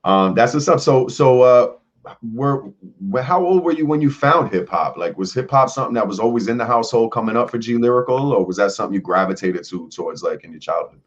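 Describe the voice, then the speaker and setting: A man hosting a casual live show, joking and slangy, shouting out the chat. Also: mid-range voice, animated, brisk, muffled recording. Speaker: host.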